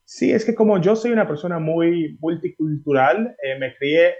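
A Dominican-born singer being interviewed, answering in a chatty way with many fillers and restarts. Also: male voice, fairly high pitch, moderate pace, a little mellow, dull audio. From Dominican Republic. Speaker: singer guest.

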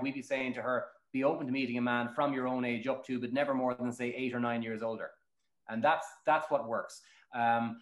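An Irish man answering interview questions as a matchmaker, in a colloquial, emphatic storytelling manner. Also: mid-range voice. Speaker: matchmaker guest. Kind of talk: animated interview answers with anecdotes. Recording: roomy, echoing sound.

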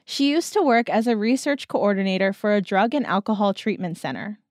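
A woman narrating a scripted documentary story as its reporter, in a measured storytelling manner. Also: mid-range voice, animated, darkish tone, dry recording, clean studio sound.

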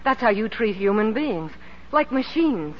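A woman's voice: fairly high pitch, very animated, a little slow. A woman acting a strong-willed, emotive female musician in an old radio drama. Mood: distressed, defiant. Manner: theatrical, emotive. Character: strong-willed ailing female concert pianist, urgent and defiant. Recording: muffled, noisy 1940s radio recording.

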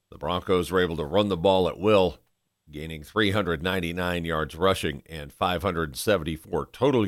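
A man's voice: deep voice, no room sound, studio quality. A man narrating a scripted sports recap as a broadcaster. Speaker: sports broadcaster and show host.

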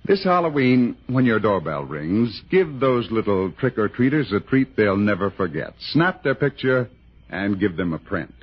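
A man's voice: fairly low pitch, very animated, normal speed, a little hiss or hum. An American man reading scripted announcements and narration in the style of 1960s radio. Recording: lo-fi vintage radio broadcast.

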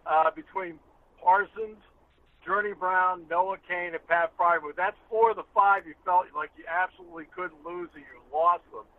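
Guy on a tinny phone line, kinda high voice, chatting sports analysis, repeats stuff to make his point. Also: normal speed. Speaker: radio play-by-play announcer as guest. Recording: thin dry phone-like line.

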